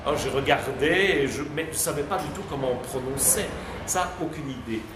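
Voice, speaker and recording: A talkative man speaks as a guest at a school, answering questions in a loose, rambling way with many pauses and restarts. His voice sits at an ordinary pitch for a man. The sound is roomy and echoey, with steady background noise in the classroom. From Belgium. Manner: chatty, hesitant, many fillers and restarts. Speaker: visiting guest answering students. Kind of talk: relaxed spontaneous talk.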